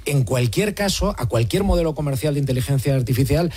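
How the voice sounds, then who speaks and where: A man's voice: expressive, a little fast, darkish tone, breathy edge. Playful man on a radio panel. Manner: playful, reads prose in a low dramatic tone. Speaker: radio contributor on technology. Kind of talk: casual radio panel chat.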